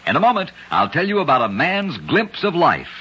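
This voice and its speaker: Husky man narrating old radio oddities. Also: on the high side, wildly swooping pitch, moderate pace, sharp tone. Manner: dramatic storytelling delivery. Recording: dull lo-fi old radio recording.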